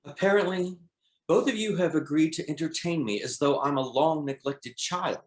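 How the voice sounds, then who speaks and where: A man's voice: fairly high pitch, moderate pace, echoey room, close to an everyday voice. A man playing a sardonic, petulant brother-in-law in a period drama table read, formal and cutting. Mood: petulant, wry.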